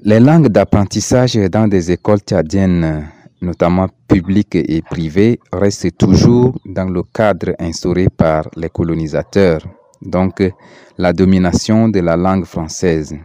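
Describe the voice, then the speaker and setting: A man from Chad reading a prepared statement in a measured, earnest way. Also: low voice, warm tone, no room sound.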